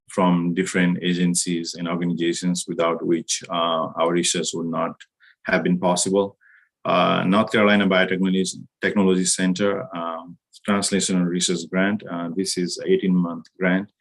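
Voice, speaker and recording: A middle-aged man from Nepal giving a university lecture over a video call, talking in a measured way with many hesitations. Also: deep voice, gravelly. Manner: measured, hesitant with frequent uh fillers. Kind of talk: online lecture over slides.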